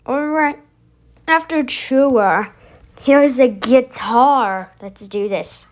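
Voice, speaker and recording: A woman with a high voice chats casually as a video host. She speaks slowly and haltingly, thinking out loud as she introduces each step. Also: very noisy. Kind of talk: casual chat to camera, demonstrating voice effects.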